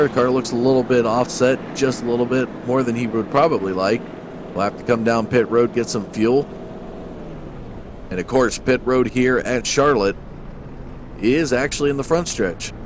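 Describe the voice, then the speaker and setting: Male play-by-play race caller, stuffy nose, mid-pitched, loud engine roar behind. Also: moderate pace. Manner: steady play-by-play, addresses folks directly. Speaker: race commentator. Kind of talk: play-by-play sim racing commentary. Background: loud race car engine noise.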